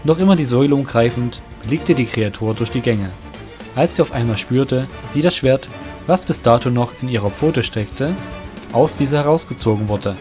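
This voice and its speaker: Male narrator, fantasy audio drama. Mid-pitched, literary storytelling, faint music underneath. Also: normal speed, a little mellow.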